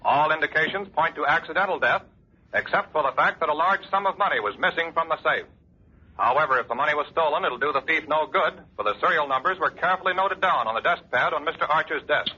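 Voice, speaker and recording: An actor playing an urgent, clipped newscaster reading a 1940s radio bulletin. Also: male voice, on the high side, fast, sharp tone, breathy edge, heavily acted. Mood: urgent, crisp. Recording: noisy, dull old radio recording.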